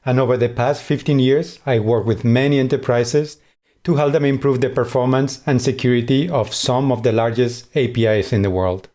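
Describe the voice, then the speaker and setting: A man with a Spanish accent presenting a scripted conference talk in a measured way. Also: medium pitch, steady tone, mellow. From Spain. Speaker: conference speaker.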